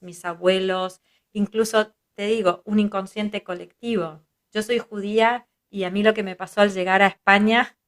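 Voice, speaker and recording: An Argentine-accented woman talks through her ideas in a calm, reflective way, stringing thoughts together and checking in with little tag questions. Her voice sits at an ordinary middle pitch, and she speaks as a psychologist guest whose first language is Hebrew. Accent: Argentine Spanish. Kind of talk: reflective interview conversation.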